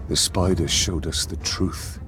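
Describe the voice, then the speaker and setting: An actor playing a weary, determined ranger warrior in a fantasy game, grave and terse. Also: male voice, sits low, fairly even intonation, a little slow. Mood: grim resolve. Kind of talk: cinematic fantasy game acting. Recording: orchestral music behind the voice.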